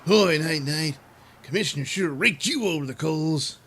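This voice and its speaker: A man's voice: a little high, animated, normal speed, fairly bright tone, husky. A man voicing a naive, meek and flustered superhero sidekick in a comedic audio serial. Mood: chipper.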